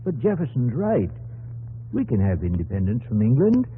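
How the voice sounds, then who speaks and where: Hopeful colonial patriot, really husky, very swoopy earnest delivery, tinny old radio. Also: male voice, medium pitch, huge pitch swings, moderate pace, exaggerated voice. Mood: earnest, persuasive. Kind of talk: 1950s radio acting. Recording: tinny 1950s radio broadcast.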